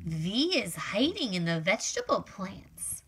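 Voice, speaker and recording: A woman reading a children's picture book aloud in an expressive, exclamatory, sing-song storytelling manner for young children. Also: medium pitch, a little slow, breathy edge.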